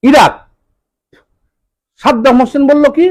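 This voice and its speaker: A man's voice: extremely high pitch, fairly even intonation, unhurried, no room sound. A man with a Bangladeshi accent delivering an impassioned political monologue, emphatic and scolding, throwing out rhetorical questions. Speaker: political commentator.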